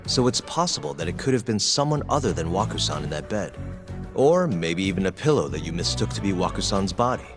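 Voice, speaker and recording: A composed, wry lawyer-detective from a dubbed video game. His voice sits low and moves freely up and down, with music playing behind it. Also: sing-song, a little fast. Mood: firm, formal. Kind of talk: English dub cutscene acting.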